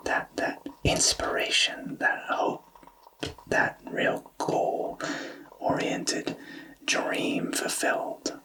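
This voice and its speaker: A man softly whispering a tarot card reading in a thoughtful, explanatory way, with hesitant phrasing. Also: recorded from a distance, rough recording. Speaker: tarot reader.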